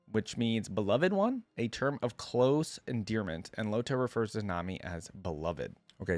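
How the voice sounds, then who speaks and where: A man's voice: on the low side, no echo. A man hosting a reaction video, talking informally and musing aloud as he comments and reads along.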